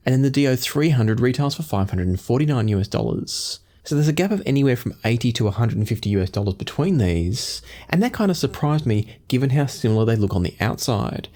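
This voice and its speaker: A man in his forties presenting a product review to camera in a measured, explanatory way. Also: mid-range voice, sing-song, a little mellow. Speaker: audio gear reviewer and host. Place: small home studio room indoors.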